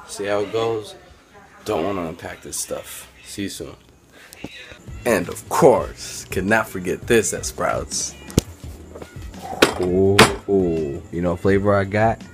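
A man in his twenties chatting casually to a handheld phone camera, relaxed, with lots of fillers. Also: deep voice, takes it slowly, long pauses, over music. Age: 20s. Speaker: vlogger. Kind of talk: casual daily vlog. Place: car, store and home kitchen. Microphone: handheld phone at arm's length.

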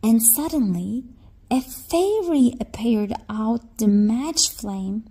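Slow, mellow woman storyteller. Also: on the high side, animated, breathy edge. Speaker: children's storyteller. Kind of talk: scripted fairy-tale narration for children.

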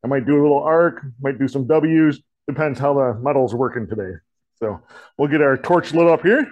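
A man in his forties, a shop teacher, talking through a torch welding demonstration in a relaxed, folksy way in an indoor metal shop. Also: medium pitch, normal speed, muffled recording. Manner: relaxed, folksy, many "kinda" and "you know" fillers. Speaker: diesel technology teacher.

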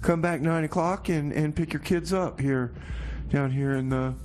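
A man hosting a community radio show with casual comedic patter, speaking in a halting, folksy, mock-earnest way. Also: on the high side, darkish tone, a little hiss or hum.

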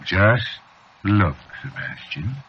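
A man's voice: lowish voice, animated, slow pace, breathy edge, full character voice. An actor playing a placid, sinister male intruder, pointedly courteous, in 1940s radio drama style. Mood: placidly menacing. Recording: dull old radio recording.

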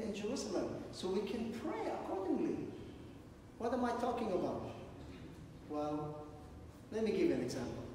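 A middle-aged Israeli man preaching in a high, lively voice, often asking questions of the people listening. His voice rings through a large sanctuary and is picked up from far off, with a long echo behind it. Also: a little hiss or hum. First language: Hebrew. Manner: animated, paces the stage, asks the congregation questions. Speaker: guest preacher. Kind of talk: sermon. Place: large sanctuary with stage and pulpit. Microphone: room mic from a far camera. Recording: echoey hall from far off.

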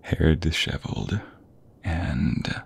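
A man playing an affectionate, flirty husband in an intimate ASMR roleplay, with a breathy, husky, gravelly voice that often drops to a half-whisper. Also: extremely low pitch, takes it slowly, slight background noise, heavily acted. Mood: tender, flirtatious.

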